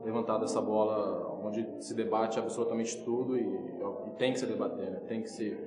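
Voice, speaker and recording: A man's voice: lowish voice, steady tone, moderate pace, over music. A Brazilian man commenting conversationally as an interviewer. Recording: echoey reverberant room.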